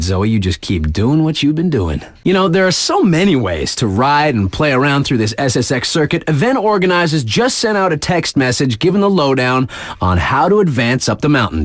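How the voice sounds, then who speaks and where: A man's voice: fairly high pitch, dry recording, studio quality. A man playing an upbeat, laid-back young radio DJ in a snowboarding video game, with casual, hyped, slangy patter. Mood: hyped, admiring.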